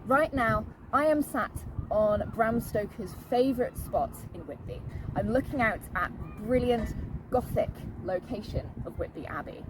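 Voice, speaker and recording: A woman presenting a travel piece on location outdoors, with a theatrical storytelling manner. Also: a little high. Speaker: presenter, audio drama writer and director. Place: outdoors in a seaside town. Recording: loud outdoor noise, traffic, sometimes distant.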